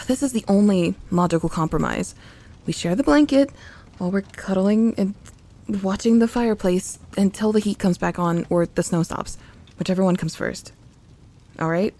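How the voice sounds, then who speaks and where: A woman playing a young tsundere tomboy best friend in an intimate audio roleplay, grumbling and flustered but secretly affectionate, in a soft voice that often turns to whispering. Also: mid-range voice, normal speed, natural voice. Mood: flustered, defensive. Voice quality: soft, breathy.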